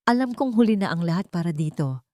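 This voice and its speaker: A repentant ruined wife speaks in a soft, broken, pleading voice, as a woman narrator reads her lines. Her tone sits low and the sound is close and dry, with no room around it. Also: expressive, fast, dry recording, close to an everyday voice. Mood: remorseful. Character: remorseful fallen businesswoman wife, tearful.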